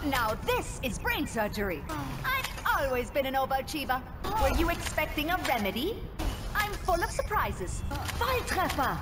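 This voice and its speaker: A woman's voice: sits high, sing-song, normal speed, heavy background noise. An actress playing a poised, confident female combat medic in a video game, with a German accent. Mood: self-assured. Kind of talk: video game hero voice lines. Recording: loud music behind.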